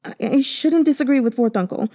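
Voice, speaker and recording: A woman's voice: high voice, fairly quick, mellow, pitched above the performer's own voice. A woman voicing a pampered young man who sobs and stammers as he pleads, breathless and tearful. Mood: broken muttering. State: sobbing.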